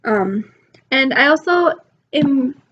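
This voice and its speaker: A woman's voice: high voice, lively intonation, slow, a bit bright, dull audio. A young mom vlogging from her laptop, chatty and rambling with lots of ums, her voice a bit worn from being sick. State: recovering from illness, losing her voice. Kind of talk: casual talk to camera. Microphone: laptop mic.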